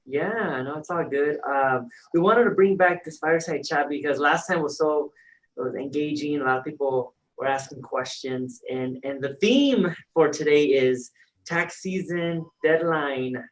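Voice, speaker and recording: A man's voice: a little high, unhurried, low-quality recording. A man hosting a casual video call chat, easygoing and chatty as he introduces guests and asks questions. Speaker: host.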